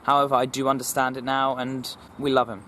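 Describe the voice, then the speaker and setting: A young man talks to camera in a formal, steady voice at a middling pitch. He is earnest and circles back to repeat his points. Faint traffic hums in the background. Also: a little fast. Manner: earnest, repetitive, restarts often; formal, even delivery. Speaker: vlogger. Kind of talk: personal vlog talking to camera.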